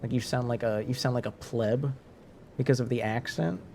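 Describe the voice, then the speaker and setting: A man's voice: mid-range voice, fairly even intonation, moderate pace, vocal fry, a little mellow, slight background noise. A man from French Canada with a light French Canadian accent, talking casually to his stream viewers, rambling with many restarts and fillers.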